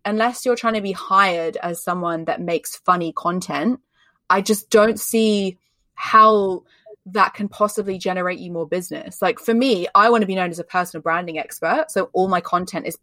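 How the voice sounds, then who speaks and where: A woman's voice: fairly low pitch, pushed and bright, dry recording. Chatty woman with an English accent. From Australia. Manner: emphatic, chatty, many "like" fillers. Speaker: guest, personal branding expert. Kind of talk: candid conversational interview answers.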